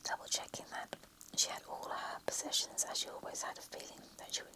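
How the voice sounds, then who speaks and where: A reader whispering a romantic story in a soft, unvoiced hush, with small slips and self-corrections. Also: a little fast. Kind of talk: whispered story reading, ASMR.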